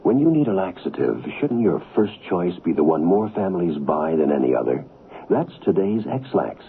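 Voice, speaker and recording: A man's voice: low voice, sing-song, normal speed, creaky, slightly rough. A man reading a scripted radio advertisement as a commercial announcer. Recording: muffled phone-like old radio.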